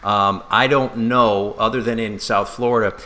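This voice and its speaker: Casting: male sports broadcaster, low bright voice, casual and conversational co-host delivery with some halting restarts. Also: fairly even intonation.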